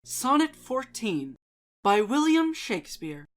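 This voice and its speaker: A woman giving a dramatic reading of poetry and prose in a measured, deliberate, theatrical way. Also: on the high side, big pitch swings. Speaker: channel host and reader.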